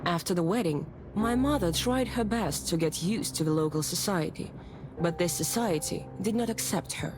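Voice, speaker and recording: Woman acting a proper self-assured young lady in a visual novel, kinda low voice, formal and composed, music under it. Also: moderate pace, lightly acted, low-quality recording. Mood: reflective, wistful. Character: well-bred, self-assured young lady detective telling her past.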